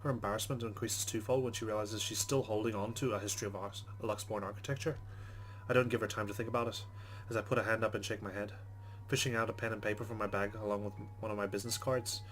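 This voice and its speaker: A man's voice: lowish voice, steady tone, quick pace. A man hosting a game playthrough, reading the story text aloud with casual asides. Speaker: let's play host.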